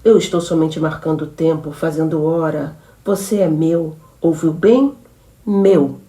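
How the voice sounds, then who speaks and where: A woman's voice: sits low, measured pace, warm tone. A woman reader voicing a jealous young woman who shoots her lover and then falls into hysterics. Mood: cold threatening. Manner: menacing then frantic and sobbing.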